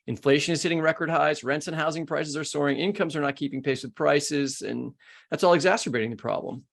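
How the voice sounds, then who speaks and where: A man's voice: a little high, fairly quick, vocal fry. A man with an American accent, hosting a podcast with scripted introductions and interview questions. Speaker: podcast host.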